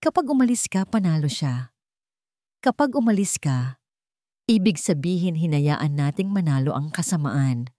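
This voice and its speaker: A woman's voice: deep voice, animated, moderate pace, pauses often, mellow, no echo. Woman plays determined Filipino male doctor in an audio drama story: protective, intense, pleading, dry sound. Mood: firm pleading.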